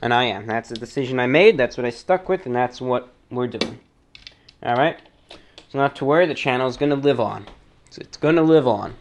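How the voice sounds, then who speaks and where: Male host, medium pitch, casual mail-reading and commentary, halting and deliberate delivery with repeated phrases. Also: a little hiss or hum. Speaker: host of a listener-mail show.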